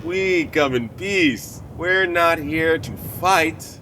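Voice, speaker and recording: A man playing a wry, measured wasteland gang leader in an audio drama. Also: fairly high pitch, bright, forceful tone, a little hiss or hum, exaggerated voice. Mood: wry, placating.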